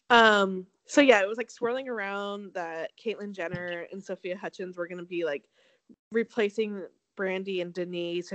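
A woman's voice: medium pitch, a bit bright, no room sound. A young woman from Connecticut co-hosting a casual gossip chat, blunt and catty, laughing often and filling with ums and likes. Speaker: podcast co-host.

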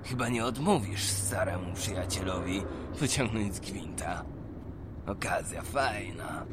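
A man's voice: low-pitched, measured pace, very noisy. An actor playing a homeless male street drinker, a chummy, cajoling, foul-mouthed old pal who talks in slang, in a radio drama. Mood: coaxing. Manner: cajoling, slangy, theatrical exclamations. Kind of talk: colourful radio drama acting.

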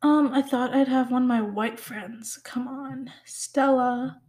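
A woman's voice: sits high, fairly even intonation, slow, darkish tone. A teenage girl of Pakistani heritage hosting her own podcast, rambling and teasing herself with lots of "like" and "um". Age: teens. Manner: rambling, self-teasing, full of "like" and "um". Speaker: podcast host. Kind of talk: casual solo podcast chat.

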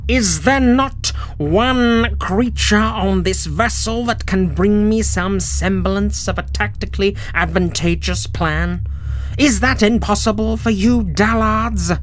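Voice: medium pitch, a little hiss or hum, pitched above the performer's own voice, natural voice. A narrator doing a furious, menacing alien general. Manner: slow, menacing, then shouting. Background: background music.

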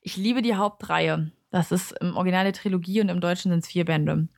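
A woman's voice: fairly low pitch, brisk, vocal fry, darkish tone. A young adult woman, a native German speaker, giving a casual, chatty book review in an informal, candid way, often correcting herself.

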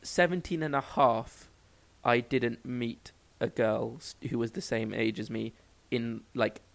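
A man's voice: mid-range voice, steady tone, unhurried, gravelly. A young man in his twenties with a London accent, chatting casually as a podcast co-host, joking and cutting himself off mid-sentence. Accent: London English. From England. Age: 20s. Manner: rambling, joking, self-interrupting. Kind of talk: casual chat.

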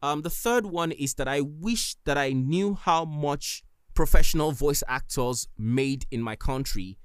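A man's voice: a little high, unhurried, clean tone, no room sound, studio quality. A man with a Nigerian accent, hosting a solo podcast and giving earnest, emphatic advice. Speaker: podcast host.

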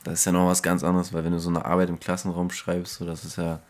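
A man's voice: sits low, monotone, quick pace. A young man chatting casually with friends on a podcast in slangy, colloquial speech. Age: young adult. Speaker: podcast participant. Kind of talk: casual chat among friends.

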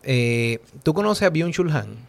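A man's voice: a little high, sing-song, unhurried, creaky. A man from the Dominican Republic with a Dominican accent, a guest in a casual philosophical chat, thinking aloud with many fillers and asides. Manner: talks things through with many fillers and "¿tú entiendes?" asides.

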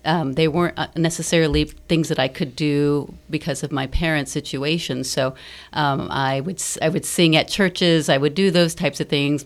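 Female theater director guest, American, low voice, conversational, thoughtful and a little halting. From Fresno, California, United States. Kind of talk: conversational interview answers. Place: radio studio.

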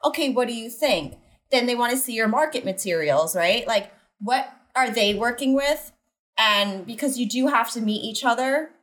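Woman, casual chat, animated storyteller, agreeable, higher voice. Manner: animated, quick agreeing interjections.

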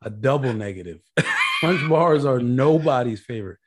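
A man's voice: medium pitch, lively intonation, moderate pace, a crowd in the background. Joking, blunt podcast co-host, a man. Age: 30s. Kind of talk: casual podcast chat.

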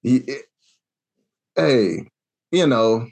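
A young adult man from Kansas telling a long, animated story in a casual podcast chat, acting out the voices he quotes. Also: mid-range voice, drawn-out words, creaky, breathy edge. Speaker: podcast co-host.

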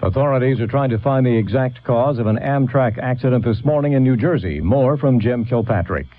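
A man reading a scripted radio news bulletin in a measured, formal way. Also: medium pitch. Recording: dull lo-fi old radio.

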